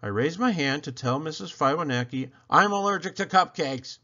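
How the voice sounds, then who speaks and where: A man reading a children's picture book aloud in an animated storyteller style, with dramatic, emphatic phrasing and playful swoops. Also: a little high, moderate pace, highs cut off.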